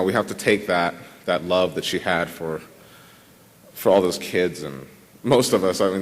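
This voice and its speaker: A man giving an informal tribute at a memorial service, halting and repeating words as he speaks. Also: low voice. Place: large room full of people at a memorial service. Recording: reverberant hall.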